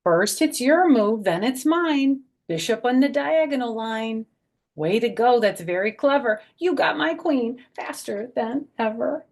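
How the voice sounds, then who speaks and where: An older woman doing a children's read-aloud storytime, moving between expressive sing-song reading and soft, gentle asides. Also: on the high side, measured pace. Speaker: storyteller, children's author and former schoolteacher.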